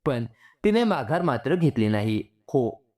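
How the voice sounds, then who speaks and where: Expressive male storyteller with lively pitch. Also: measured pace. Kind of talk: scripted story narration, reading dialogue of characters.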